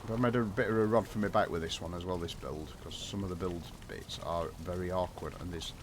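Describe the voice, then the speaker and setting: Low croaky man streaming. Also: fairly even intonation, fast talker, noisy background. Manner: rambling, self-deprecating, chats with viewers. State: croaky from a cold. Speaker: game streamer. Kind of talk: casual live stream chat while building.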